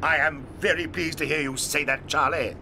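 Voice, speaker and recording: An actor playing an eccentric, excitable old male chocolate maker in a full-cast audio drama. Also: a little high, normal speed, a little hiss or hum. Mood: excited. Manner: excitable, theatrical, lively swings.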